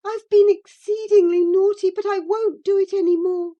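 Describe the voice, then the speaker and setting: A woman voicing a distraught teenage girl who pleads through tears in a high, cracking voice. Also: steady tone, normal speed, warm tone, highs cut off. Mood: pleading, sobbing.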